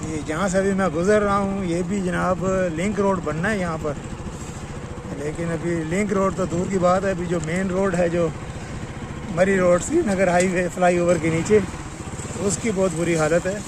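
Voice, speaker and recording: Pakistani guy doing a roadside vlog update, slightly high voice, lots of traffic noise behind him. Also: fairly even intonation, normal speed. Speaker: vlogger. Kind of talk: on-site road update commentary. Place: outdoors on a roadside by construction works. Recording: loud outdoor traffic and vehicle noise. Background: traffic, vehicles, outdoor ambience.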